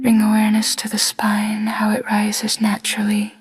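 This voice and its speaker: A woman with a soft, breathy, hushed voice leading a scripted guided body scan meditation in short phrases with long pauses. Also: mid-range voice, monotone.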